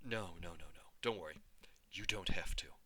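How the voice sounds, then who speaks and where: A man voicing a cheerful, encouraging mother in a high, sing-song voice. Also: moderate pace, bright, forceful tone, breathy edge, no echo, heavily acted. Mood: soothing. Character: cheerful encouraging mother, middle-aged woman.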